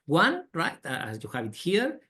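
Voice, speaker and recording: Spanish-accented middle-aged professor lecturing. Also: male voice, a little high, wildly swooping pitch, moderate pace, bright, forceful tone. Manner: tag questions and "right?" fillers, enthusiastic. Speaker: business school professor. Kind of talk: webinar lecture. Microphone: computer mic on a video call.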